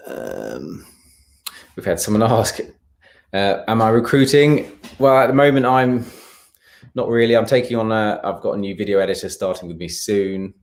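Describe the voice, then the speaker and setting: A man with a low voice and an English accent hosts a live travel stream. He chats casually as he reads out questions from viewers and answers them, sometimes humming to himself while he searches. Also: darkish tone. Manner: hums filler sounds while scanning for comments. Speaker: travel content creator and live stream host.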